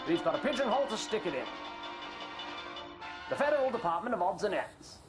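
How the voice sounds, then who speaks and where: An actor playing a pushy, reassuring government announcer in a parody television commercial for an animated film. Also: medium pitch, brisk, over music, natural voice, rough recording. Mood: salesy, reassuring. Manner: salesy, rhetorical, exaggerated.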